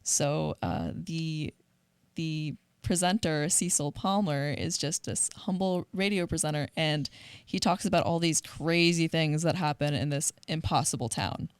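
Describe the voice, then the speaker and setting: A woman co-hosting a casual discussion, speaking reflectively in measured phrases with pauses. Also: low-pitched, smooth, dry recording. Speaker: podcast co-host. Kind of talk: casual discussion of audio dramas.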